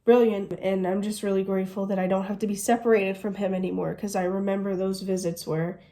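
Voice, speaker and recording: A woman's voice: medium pitch, flat delivery, a little fast, warm tone. A woman in her twenties from the United States, chatting casually through a Q&A vlog and gushing as she goes. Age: 20s. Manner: chatty, gushing, says "literally" often. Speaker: vlogger.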